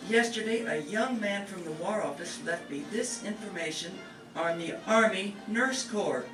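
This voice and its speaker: A woman's voice: medium pitch, moderate pace, lightly acted. A woman playing a strict, bossy charge nurse in an amateur staged radio play. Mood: stern, commanding. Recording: echoey, distant, music behind.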